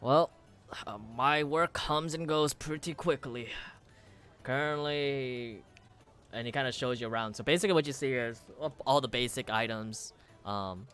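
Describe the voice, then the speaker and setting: A young man running a tabletop game as game master, describing scenes in a rambling, halting, self-mocking way. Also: medium pitch, smooth. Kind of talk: casual tabletop game narration. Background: soft music.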